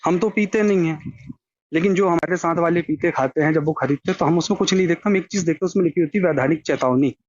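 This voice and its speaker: A man's voice: on the high side, fast talker, dry recording. A man chatting casually and colloquially on a recorded phone call.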